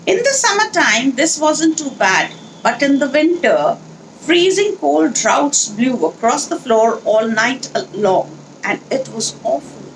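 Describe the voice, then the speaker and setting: A woman leading a children's read-along, speaking in an instructional, deliberate, explanatory way with pauses. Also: sits high, sing-song, a little hiss or hum, rough recording. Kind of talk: instructional talk and storybook read-aloud.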